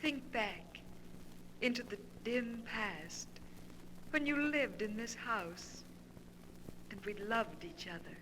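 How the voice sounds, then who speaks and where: An actress playing the seductive, commanding ghost of a dead lover with slow, deliberate melodrama in a 1940s radio horror show. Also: female voice, fairly high pitch, expressive. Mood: hypnotic, yearning. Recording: noisy vintage 1940s radio recording.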